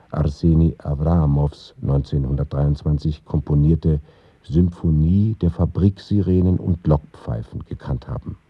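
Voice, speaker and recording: A man narrating a scripted radio feature in a measured, formal way. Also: rumbling low, breathy edge, dry recording, dull audio.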